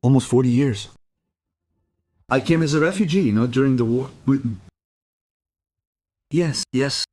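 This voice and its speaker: An elderly immigrant man, played by an actor in a crime audio drama. He speaks at a middling pitch, politely and hesitantly, wandering off as he evades questions. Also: unhurried, darkish tone, lightly acted. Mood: evasive. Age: 70s. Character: lonely, evasive elderly Polish immigrant man under questioning.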